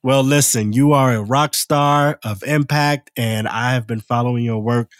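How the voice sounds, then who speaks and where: A man's voice: medium pitch, steady tone, moderate pace, no echo. A man hosts a podcast interview, introducing his guest with energy and stumbling into the odd um or repeated word. His voice sits in an ordinary middle range for a man. The sound is dry, with no room around it. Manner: upbeat, with fillers and repeats. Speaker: podcast host.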